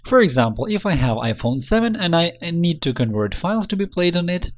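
A man reading a scripted software tutorial in an instructional, step-by-step manner. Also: fairly high pitch, very animated, a little fast.

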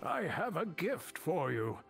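Slow, creaky old male scholar. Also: fairly high pitch, very animated, fairly bright tone, faint music behind, full character voice. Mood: solemn. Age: older. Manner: halting, solemn, deliberate. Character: old male scholar, grave, weary, worried mentor. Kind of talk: dramatic video game dialogue.

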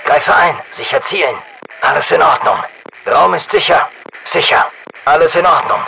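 An actor playing a battle-hardened special forces soldier in a shooter game, giving clipped, commanding callouts over military radio. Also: male voice, very high voice, animated, moderate pace, background noise. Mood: low, controlled.

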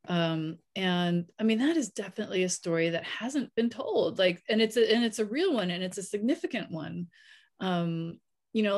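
A woman in her forties speaking on a video call as a panelist author, thoughtful and halting with many fillers. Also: fairly low pitch. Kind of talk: casual panel discussion. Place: home room, video call. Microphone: webcam on video call.

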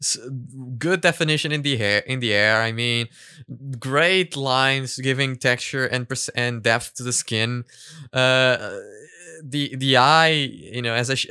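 A man in his twenties giving casual, sweary reaction commentary in a halting way, close on a studio-style mic at home. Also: mid-range voice, sharp tone, smooth, no echo. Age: 20s. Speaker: reaction video host. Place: small home room, indoors. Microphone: microphone on boom arm, headphones.

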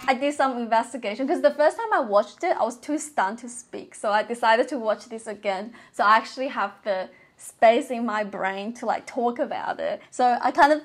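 A young woman giving casual, enthusiastic and expressive reaction commentary to camera, recorded indoors on a clip-on mic. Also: a little high, moderate pace, hardly any pauses. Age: young adult. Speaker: reaction vlogger. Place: bedroom, indoors. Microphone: clip-on lapel mic.

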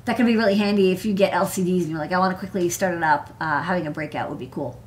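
A woman's voice: on the low side, rapid-fire, slight background noise. An American woman hosting a technical livestream, chatting informally about electronics with plenty of casual asides. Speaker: host, electronics engineer. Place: indoor desk workspace.

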